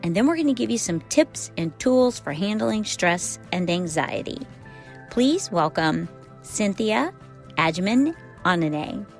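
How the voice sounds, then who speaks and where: A woman host reads a scripted podcast opening in an upbeat, steady voice. Soft music plays quietly behind her. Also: medium pitch, slow. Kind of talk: scripted show intro.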